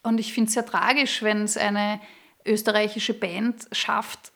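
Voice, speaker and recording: A woman's voice: medium pitch, level tone, slow, creaky, fairly bright tone. Austrian singer lady in her 30s, guest on a podcast, talks freely, lots of ums. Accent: Austrian German. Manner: candid, with frequent fillers and restarts. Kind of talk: casual podcast interview.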